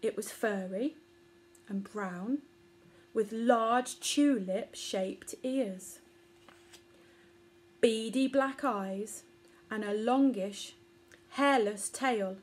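A woman's voice: fairly high pitch, extremely slow, pauses often, a little mellow. A woman in her thirties narrating a children's story expressively. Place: small living room or bedroom, indoors.

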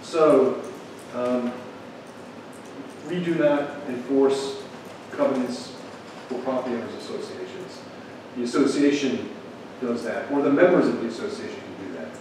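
A man explaining things in a measured way as an official at a public meeting. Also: mid-range voice. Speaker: town official advising a board. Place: large meeting room, distant camera. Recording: very echoey room, distant mic, noisy.

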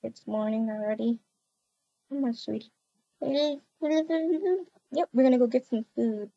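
A woman's voice: on the high side, slow pace, creaky, warm tone, no room sound, highs cut off. A woman acting out a voiced video game roleplay.

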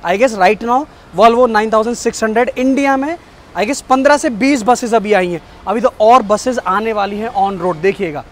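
A man's voice: sits high, moderate pace, a little hiss or hum. A young man, the host of a travel vlog, talks to viewers about a bus trip and points things out to them, first at an outdoor depot and then inside a sleeper bus, on a camera held at arm's length. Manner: chatty, keeps pointing things out to viewers, mixes in English phrases. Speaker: travel vlogger. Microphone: phone or action camera at arm's length.

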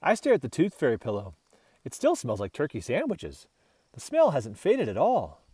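A man reading a children's storybook aloud in an expressive narrating style. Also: medium pitch, normal speed, breathy edge, no echo, clean studio sound. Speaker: storybook reader.

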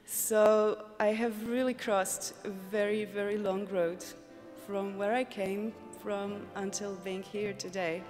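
A woman's voice: mid-range voice, little pitch movement, takes it slowly. A young woman from Croatia with a Croatian accent, giving an acceptance speech at a podium. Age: young adult. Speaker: competition prize winner. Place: large concert hall stage. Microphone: podium microphone on stand. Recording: reverberant concert hall, music underneath. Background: music.

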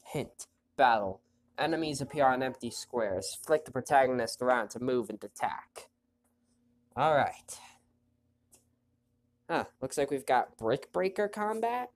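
Male let's-play host, stuffy nose, casual commentary, quietly reads game text. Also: a little slow, pauses often, slight background noise. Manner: relaxed, chatty, reads story text in a quiet low voice. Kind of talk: casual gameplay commentary, reading on-screen text aloud.

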